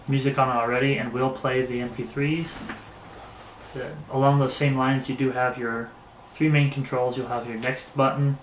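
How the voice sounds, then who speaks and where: A man giving a casual hands-on product review, speaking in a halting way with pauses mid-phrase. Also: mid-range voice. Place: indoors in an echoey room. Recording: roomy echo, faint background noise.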